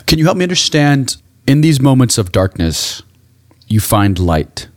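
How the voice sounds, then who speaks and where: A man's voice: medium pitch, big pitch swings, a little slow, no echo, studio quality. A man hosting an interview in a reflective, conversational style, affirming and encouraging as he asks questions. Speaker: interviewer, podcast host.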